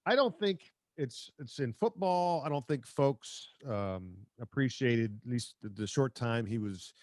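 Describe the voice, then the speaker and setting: A man's voice: medium pitch, animated, unhurried, dry recording, studio quality. An American man, middle-aged, hosting a casual sports podcast chat.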